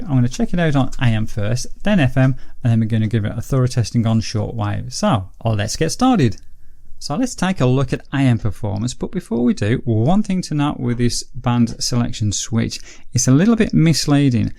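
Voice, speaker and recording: A man's voice: mid-range voice, gravelly, warm tone. A man presenting a casual product review in a methodical, explanatory way. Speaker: host of gadget review videos.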